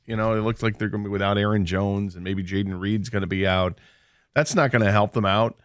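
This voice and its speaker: A man's voice: fairly low pitch, fairly even intonation, a little fast, clear, resonant tone, no room sound. A man co-hosting a sports radio show, breaking down a football game in a casual, analytical way. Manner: analytical, confident picks. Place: radio studio.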